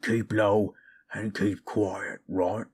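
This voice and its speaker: A man playing a gruff, weary but good-hearted orc adventurer in a fan audio drama, blunt and grumbling. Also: on the low side, level tone, extremely slow, a little mellow, full character voice. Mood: resolute, wary.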